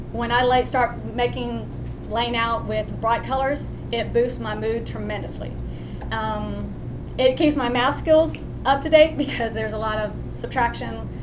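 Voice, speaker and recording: Older Texan woman, chatty class speech, higher voice, noisy rumbling home recording. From West Texas. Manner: homey, chatty, with small restarts. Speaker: student giving a class speech. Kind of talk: personal narrative speech.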